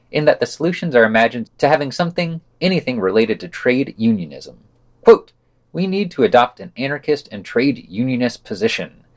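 Man reading news with lively, swooping intonation. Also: mid-range voice. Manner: wry, sardonic asides. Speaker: podcast news reader. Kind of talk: scripted news roundup with wry commentary.

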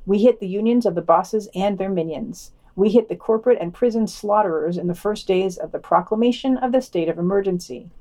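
A woman's voice: medium pitch, mellow. A woman reading scripted news items and long quotations for a podcast, dropping in wry asides.

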